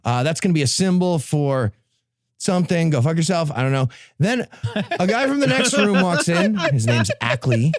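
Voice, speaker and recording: A man's voice: on the high side, very animated, normal speed, no echo, clean studio sound. A man hosting a comedy podcast, giving a sarcastic, profane recap to his co-hosts. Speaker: podcast host.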